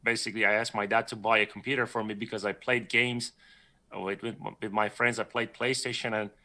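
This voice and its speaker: Male guest from Iran, bright forceful tone, loose rambling storyteller. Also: on the low side, little pitch movement, a little fast. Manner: rambling, self-correcting storyteller, laughs often. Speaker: guest artist. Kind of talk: casual livestream chat.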